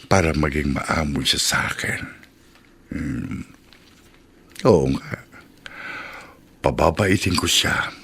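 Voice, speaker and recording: A man's voice: rumbling low, creaky, noisy background, heavily acted. A man playing a jovial but scheming, lecherous car repairman in a Filipino radio drama, hearty and sly.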